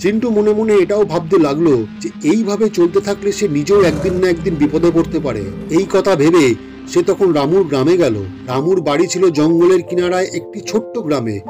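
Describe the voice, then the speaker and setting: A man's voice: high-pitched, fairly quick, over music. A man narrating a scripted children's folk tale.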